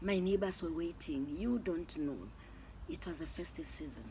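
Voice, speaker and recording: An older woman, telling the story of her family in a casual interview, in a measured, earnest way with pauses. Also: on the low side, dark tone. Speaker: adoptive mother, interviewee. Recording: faint background noise.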